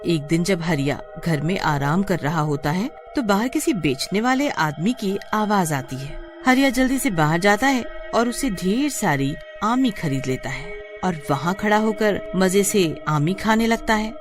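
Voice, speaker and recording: A woman's voice: medium pitch, expressive, a little slow, few pauses, music in the background. A woman reading scripted narration for a cartoon story.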